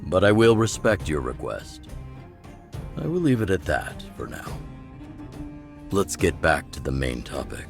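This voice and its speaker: Very deep, gravelly rebel chief. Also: male voice, big pitch swings, exaggerated voice. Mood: grave, firm. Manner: measured, sardonic, chuckling. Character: gruff, wry, composed older male underground rebel chief. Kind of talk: scripted video game voice acting. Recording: game music under the voice. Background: game music.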